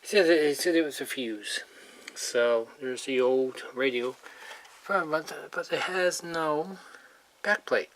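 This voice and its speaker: A person talking casually and informally while showing hands-on work, rambling and trailing off mid-thought, with a medium-pitched voice. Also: slow. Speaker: hobbyist showing a radio repair. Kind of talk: casual hands-on video narration. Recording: clearly noisy amateur handheld recording.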